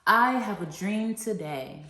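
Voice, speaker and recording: A woman's voice: mid-range voice, animated, slow, bright, forceful tone, slight background noise. A woman reading aloud and explaining for children, as an educator leading a read-along. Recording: echoey, reverberant room sound.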